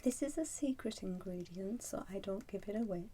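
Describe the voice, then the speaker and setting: A woman whispering softly and breathily in an ASMR roleplay, pausing often as she addresses the listener as a customer. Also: mid-range voice, lively intonation, a little hiss or hum. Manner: slow, pausing, unhurried. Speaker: ASMR performer playing a soup shop keeper.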